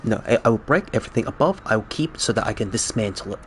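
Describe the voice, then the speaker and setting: A man's voice: on the low side, animated, fairly bright tone, a little hiss or hum. A man whose first language is Cantonese, streaming a game and chatting casually with viewers, often restarting his sentences. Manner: halting, restarts sentences, chatty questions to chat. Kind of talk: casual chat with viewers while gaming.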